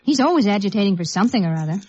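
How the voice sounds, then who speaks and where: An actress playing a brisk, helpful American company office woman in 1950s radio drama style. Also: mid-range voice, animated, darkish tone, natural voice. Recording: muffled old radio recording.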